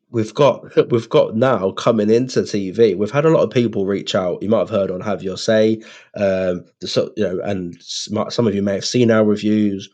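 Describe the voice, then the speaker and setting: A man's voice: lowish voice, warm tone. A British man co-hosting a podcast in casual, blokey, sweary chat with a friend. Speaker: podcast co-host.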